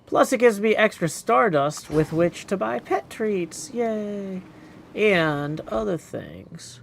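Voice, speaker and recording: A man's voice: high voice, sing-song, takes it slowly, vocal fry, a little hiss or hum. A man doing casual gaming commentary as a live streamer, thinking aloud playfully and reading chat.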